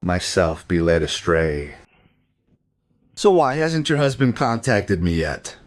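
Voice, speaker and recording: An actor playing a shady, evasive dealer in a crime radio drama, with a condescending, menacing manner. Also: male voice, sits low, sing-song, slow pace, exaggerated voice. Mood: urgent, nervous.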